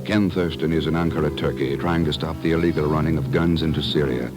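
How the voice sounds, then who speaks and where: A man's voice: extremely low pitch, fairly even intonation, quick pace, music in the background. A man working as a 1950s radio announcer, reading a script in a polished, emphatic way.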